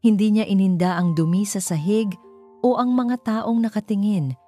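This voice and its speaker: A woman's voice: mid-range voice, normal speed, dark tone, faint music behind. A woman with a Filipino accent reading a scripted romance story as its narrator.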